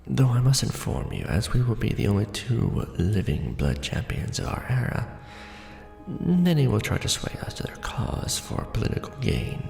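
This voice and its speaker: A man playing a courtly, brooding vampire lord in an ASMR roleplay, speaking formally and deliberately in a hushed, breathy, gravelly voice. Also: mid-range voice, very animated. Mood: solemn, assured. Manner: formal, hushed, deliberate, theatrical aristocratic address. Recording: roomy echo under background music. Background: music.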